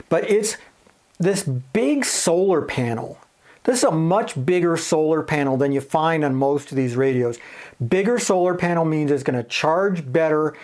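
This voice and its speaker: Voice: mid-range voice, darkish tone. A reviewer giving a casual, plain-spoken, conversational product review to camera.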